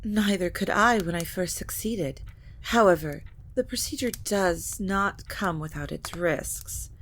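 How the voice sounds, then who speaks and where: A woman playing an ancient, scheming vampire matriarch in an audio drama, speaking in a measured, formal and grave manner. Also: on the low side, fairly bright tone, a little hiss or hum. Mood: grave, resolute. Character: ancient vampire matriarch, scheming scholarly mother of grown children. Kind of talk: scripted audio drama acting.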